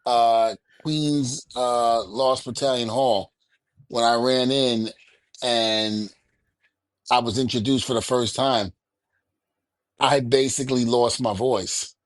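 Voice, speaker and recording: A man co-hosting a casual talk show, telling stories with animated energy and laughing often. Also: mid-range voice, takes it slowly, pauses often, no echo. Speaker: podcast co-host. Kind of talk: casual chat.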